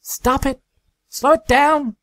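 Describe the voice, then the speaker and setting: Man putting on a very high, strained pony mare. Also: fairly even intonation, takes it slowly, pushed and bright, dry recording, heavily acted. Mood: desperate. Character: brash female pegasus pony, distressed and desperate.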